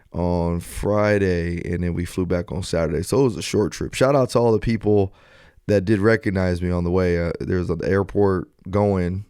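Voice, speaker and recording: A man with a deep voice hosts a podcast in a dry, close-sounding recording. He talks in loose, slangy sentences like he is chatting with friends. When he retells an exchange, he acts out the lines. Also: a little mellow, clean tone, no room sound. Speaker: podcast host. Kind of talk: casual podcast banter and storytelling.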